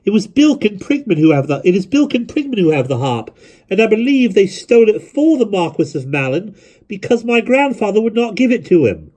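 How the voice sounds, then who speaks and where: Frantic teenage boy, strained and high, voice leaping all over, husky edge. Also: very animated, brisk, darkish tone. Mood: urgent. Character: desperate teenage boy pleading with a hostile crowd.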